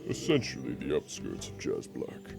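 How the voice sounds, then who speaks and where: A man voicing a courteous, proud, centuries-old dragon in a fantasy audio drama, speaking formally and in a measured way. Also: very deep voice, huge pitch swings, vocal fry, full character voice. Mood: calm, formal. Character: ancient male dragon, four hundred years old, courteous, proud. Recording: music playing behind.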